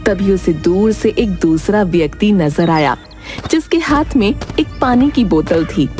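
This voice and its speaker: Female storyteller, scripted narration, medium pitch, moral tale, faint music behind. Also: expressive, measured pace, darkish tone.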